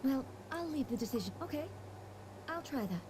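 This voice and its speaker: A woman's voice: high-pitched, lively intonation, normal speed, background noise. A woman playing a reserved, stiff shogun in a video game, speaking formally with earnest, naive puzzlement. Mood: hesitant. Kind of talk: video game character acting.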